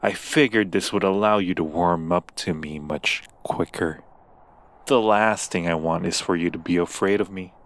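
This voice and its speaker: Obsessive demon prince in human form, played by a man: low, slow, deliberate, tenderly menacing seducer for ASMR roleplay. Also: lightly acted. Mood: smooth, reassuring.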